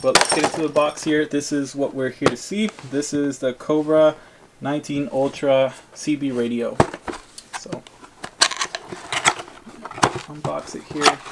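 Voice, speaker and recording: A man's voice: a little high, fairly even intonation, measured pace, pauses often. A man talking casually to his viewers in an unboxing vlog, explaining things in an informal, plain-spoken way. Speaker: vlogger. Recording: clear background noise, crinkling packaging.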